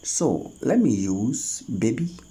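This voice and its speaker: A tutorial host talking through phone app steps one at a time, in a halting, instructional way. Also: mid-range voice, very animated, mellow, husky. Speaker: host of a tech tutorial channel. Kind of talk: spoken step-by-step screen tutorial.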